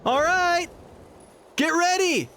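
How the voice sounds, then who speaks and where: A man's voice: very high voice, takes it slowly, sharp tone, heavily acted. A man playing an easygoing, practical young arena worker in a video game, casual and upbeat. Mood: rallying. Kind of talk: scripted video game dialogue.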